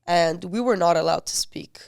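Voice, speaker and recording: A woman's voice: on the low side, normal speed, creaky, a little mellow, no room sound, studio quality. A young woman from Lebanon, a podcast guest, chatting casually with lots of "like" and acting out the people she quotes. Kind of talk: casual chat.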